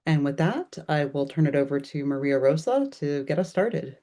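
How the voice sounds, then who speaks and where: A woman's voice: deep voice, animated, moderate pace, mellow. A woman moderates an online program on a video call, giving procedural notes with frequent ums.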